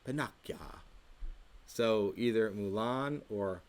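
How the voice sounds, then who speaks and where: A young man with a fairly low voice hosts a casual quiz. He talks in a laid-back, slangy way and teases his co-host. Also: slow pace. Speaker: co-host of a church youth show. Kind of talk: casual chat, quiz hosting.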